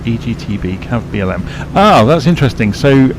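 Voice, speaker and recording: A man streaming a flight simulator, explaining cockpit instruments in a rambling, self-correcting way, his voice croaky and tired from a late night. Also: mid-range voice, sing-song, fairly quick, background noise. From England. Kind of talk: casual live-stream commentary.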